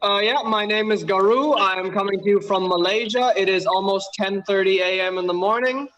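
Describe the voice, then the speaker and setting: A young man from Bangladesh with a Canadian-influenced accent delivers a dry, deadpan stand-up set from home over a video call. His voice is high and strikingly bright. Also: fairly quick, lo-fi sound. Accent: Canadian-influenced English. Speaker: stand-up comedian.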